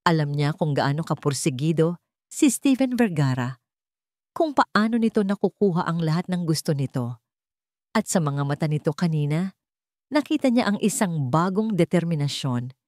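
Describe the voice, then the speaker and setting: A woman's voice: low-pitched, lively intonation, normal speed, darkish tone, dry recording, studio quality. A woman with a Filipino accent reading a scripted romance story as a dramatic narrator.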